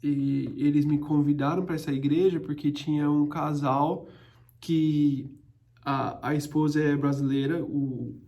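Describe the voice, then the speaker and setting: Gravelly man teaching Bible. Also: a little high, little pitch movement, measured pace, dark tone, dull audio. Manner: hesitant, frequent restarts and fillers. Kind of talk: informal Bible study teaching.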